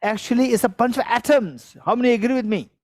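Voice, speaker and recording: A man's voice: very high voice, fast, darkish tone, no room sound, studio quality. Chuckling man teaching Buddhist philosophy. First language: Tibetan. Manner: repetitive, questions the audience, chuckles while explaining. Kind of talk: dharma teaching to a live audience. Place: teaching hall with a live audience.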